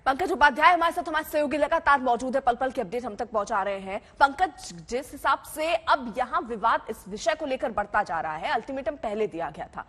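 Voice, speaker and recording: A woman's voice: high voice, expressive, brisk, few pauses, fairly bright tone, in a large hall. A woman anchoring live television news, speaking emphatically and restating her points at length. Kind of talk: live Hindi news presenting.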